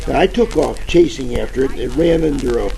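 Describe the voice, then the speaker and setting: Gravelly bumbling radio gumshoe played by a man. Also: medium pitch, fairly quick, slight background noise, poor audio. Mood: rambling, hammy. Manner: rambling, hammy, slangy deadpan storytelling. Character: bumbling, boastful hard-boiled private detective and ex-cop. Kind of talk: parody of 1940s radio detective acting. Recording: old-time radio with music behind.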